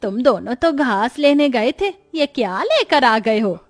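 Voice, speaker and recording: Lady playing older cartoon granny, scolding, blunt, still fairly high voice. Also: sing-song, measured pace. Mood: disapproving. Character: scolding older mother-in-law, worried grandmother. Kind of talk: Hindi moral-story cartoon voice acting.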